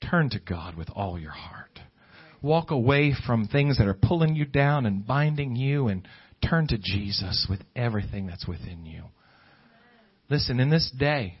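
A man preaching an evangelical sermon, exhorting earnestly with drawn-out emphatic phrases and repetition. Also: medium pitch, animated, breathy edge. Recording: dull lo-fi audio under loud guitar music. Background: loud plucked guitar music.

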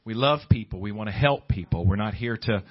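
A man's voice: lowish voice, a little fast, highs cut off. A man preaching a Pentecostal-style sermon to a congregation, speaking emphatically, repeating phrases and prompting listeners for agreement.

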